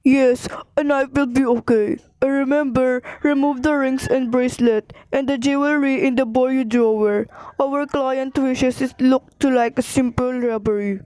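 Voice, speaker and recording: Woman playing high-voiced, cold-blooded male hired killer. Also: steady tone, moderate pace, warm tone, clean tone, lightly acted, rough recording. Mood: cold, businesslike. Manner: matter-of-fact, businesslike. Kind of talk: amateur radio play acting.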